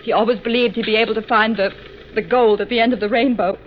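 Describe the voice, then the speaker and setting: A woman acting an anxious, wronged wife. Her voice is high, earnest and dramatic, as in classic radio melodrama. The recording is muffled, with faint background noise. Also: steady tone, fast talker, natural voice. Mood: wounded, bitter.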